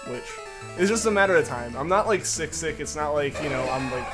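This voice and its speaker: A young man with a slightly higher voice rambles casually to his live-stream chat, heavy with fillers and repeats. He has a slight lingering cough, and music plays clearly underneath him. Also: expressive, brisk. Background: music playing underneath.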